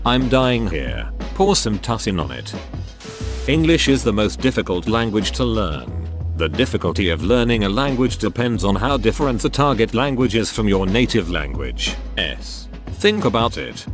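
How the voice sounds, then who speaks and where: A man narrating forum posts in a wry, scripted reading. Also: lowish voice. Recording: clear music bed underneath.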